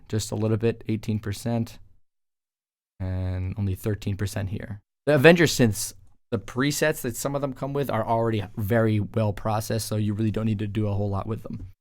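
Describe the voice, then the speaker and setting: A man's voice: on the low side, a little mellow, no echo, studio quality. A man talking casually as a music producer, explaining his work in an informal tutorial voice-over. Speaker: music producer presenting a track breakdown.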